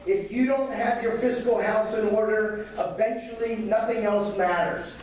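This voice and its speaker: A hoarse man with a raspy voice pitched fairly high gives a campaign pitch to a meeting crowd. He is heard from some distance in a very echoey hall, with a faint hiss behind him. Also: fairly even intonation, moderate pace, a little mellow. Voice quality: raspy, losing his voice. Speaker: county commissioner.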